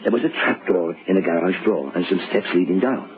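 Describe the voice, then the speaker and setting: An actor playing a jovial, talkative bartender in an old radio drama. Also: male voice, deep voice, a little fast. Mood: confiding. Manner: jovial, garrulous. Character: chummy, talkative bartender with a shady past. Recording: tinny vintage radio recording.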